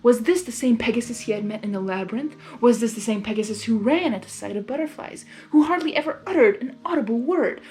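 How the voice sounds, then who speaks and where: A woman reading fan fiction aloud as a host, gushing and giggly, breaking in with excited asides. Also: fairly high pitch, quick pace, few pauses.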